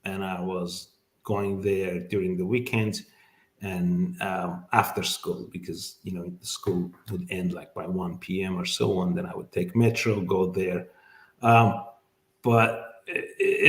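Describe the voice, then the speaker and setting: A man's voice: deep voice, echoey room. A middle-aged man from Azerbaijan, native speaker of Azerbaijani, telling his story in a casual interview, thoughtful, with many fillers and restarts. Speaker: immigration lawyer, guest.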